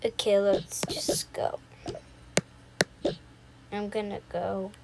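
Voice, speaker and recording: A young woman improvising a roleplay voiceover in a halting, unscripted way, often talking to herself. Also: mid-range voice, little pitch movement. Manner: unscripted, halting, with repeated self-talk. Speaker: video creator voicing a roleplay. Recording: noisy home recording with clicks.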